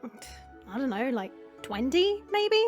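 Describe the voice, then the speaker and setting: A woman acts out a cheeky, restless female vampire in an audio drama. Her voice is high and swings widely as she teases with playful sarcasm. Music plays faintly underneath. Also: big pitch swings, slow, heavily acted. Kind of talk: audio roleplay acting.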